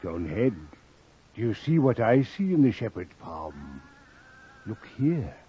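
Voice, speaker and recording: An actor playing a sly, ominous fortune-telling thief with a husky voice and incantatory delivery in a 1950s radio drama. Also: male voice, fairly low pitch, very animated, slow pace, exaggerated voice. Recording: muffled old 1950s radio recording.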